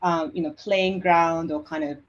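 Japanese-accented woman guest, lowish voice, hesitant and full of fillers, dull home-office audio. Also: steady tone. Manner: halting, many fillers and restarts. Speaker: interview guest. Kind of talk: conversational interview.

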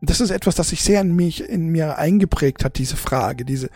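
A forty-year-old speaking off the cuff to an audience in a husky, gravelly voice, halting and repeating words, emotional and blunt with casual swearing. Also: medium pitch, dark tone, dry recording, faint music behind. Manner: halting, repetitive, searching for words. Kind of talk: unscripted casual monologue to an audience.

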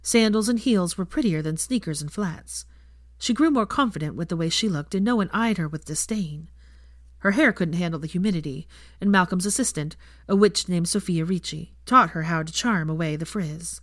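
A woman's voice: fairly low pitch, moderate pace, no echo, studio quality. A woman reading fiction aloud as scripted audiobook narration.

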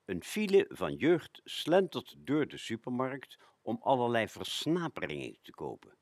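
A man's voice: mid-range voice, huge pitch swings, a little slow, no echo, heavily acted. An actor playing a nostalgic older man recalling a frugal childhood, musing expressively and colloquially in a radio play. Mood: wistful, animated.

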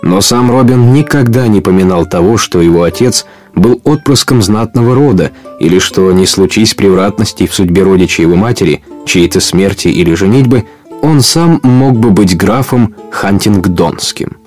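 A man's voice: lowish voice, moderate pace, a little mellow, lightly acted. A man narrating an outlaw legend as the storyteller of a radio play, in scripted storybook style. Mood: measured. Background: guitar music.

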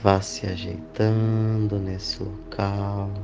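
Low-pitched man, extremely slow meditation guide, deliberate phrasing, background music. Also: level tone, dull audio. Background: clear background music.

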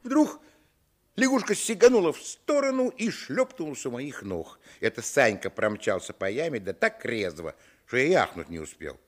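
An actor playing a grown man recalling his boyhood, narrating a radio play in a wry, expressive way. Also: medium pitch, moderate pace, slight background noise, lightly acted. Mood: descriptive.

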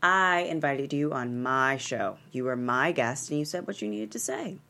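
A woman's voice: a bass voice, animated, normal speed, pushed and bright, full character voice. A woman at a screenplay table read, playing a poised, commanding and playful female talk show host with folksy, emphatic showmanship. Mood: firm, guarded. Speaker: actor at a script table read.

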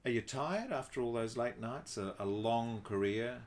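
A man's voice: mid-range voice, lively intonation, normal speed. Encouraging male interviewer. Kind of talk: conversational interview.

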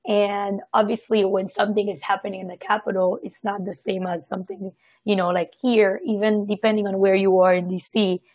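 A woman from Haiti giving a casual, reflective personal account, halting and often circling back to correct herself. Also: medium pitch, little pitch movement, a little mellow, husky, no room sound. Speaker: participant sharing personal experience in a group discussion.

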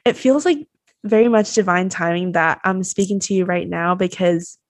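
A woman's voice: fairly low pitch, moderate pace, no room sound. A woman in her early twenties from Taiwan, a college student hosting a conversation, reflective and appreciative, with many fillers like "you know" and "um". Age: early 20s. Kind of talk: conversational interview.

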